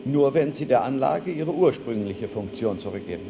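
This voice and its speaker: A man's voice: medium pitch, lively intonation, moderate pace, creaky. A man acting in a German radio play as a proud, indignant inventor-engineer with an emphatic, accusatory delivery. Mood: stern, defiant. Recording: noisy archival radio drama recording.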